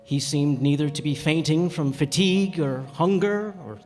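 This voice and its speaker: A man playing a stranded pilot who recounts his desert encounter in measured, thoughtful radio drama narration. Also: fairly high pitch, darkish tone, close to an everyday voice. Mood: earnest, wondering. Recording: echoey hall, music behind.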